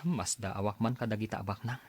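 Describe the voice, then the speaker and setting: An actor playing a disgruntled, plain-spoken tenant farmer who grumbles resentfully in a radio drama. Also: male voice, lowish voice, fairly even intonation, brisk, breathy edge, no echo, clean studio sound.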